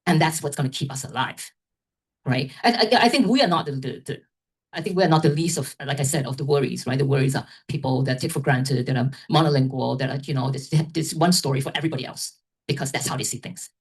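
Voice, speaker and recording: A woman's voice: a bass voice, quick pace, slightly rough. A woman moderating an academic event's discussion, rambling and often checking in with "right?". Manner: rambling, tags remarks with "right?".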